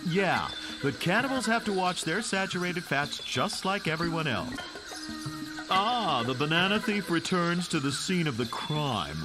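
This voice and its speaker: A man's voice: fairly high pitch, expressive, a little slow, rough recording. An actor playing a haughty, health-obsessed cannibal tribesman in a comic video game, mock-formal and fussy. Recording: loud music under the voice.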